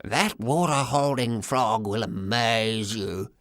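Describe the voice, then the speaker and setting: A man voicing a frail, kindly old grandfather with a trembling, halting voice in an audiobook story. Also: lowish voice, fairly bright tone, no echo, clean studio sound, pitched below the performer's own voice. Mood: happy, earnest. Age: older.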